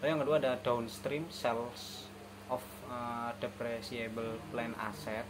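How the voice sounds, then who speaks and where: A man's voice: medium pitch, steady tone, unhurried, background noise. A young man giving a student lesson presentation, hesitant and often stumbling over his words. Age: young adult.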